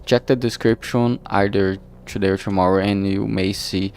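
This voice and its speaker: A man livestreaming casually while he works, talking in a halting, distracted way that trails off mid-sentence. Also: on the low side, fairly even intonation, darkish tone, slight background noise. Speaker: streamer and visual novel creator. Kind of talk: casual solo livestream chat while working. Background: background music.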